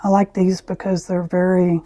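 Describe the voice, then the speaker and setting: A woman with a raspy voice, who often clears her throat as she chats through an art tutorial. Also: lowish voice, little pitch movement, no echo. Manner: slow, soft-spoken, frequent throat clearing. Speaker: art channel host. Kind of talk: casual art tutorial chat.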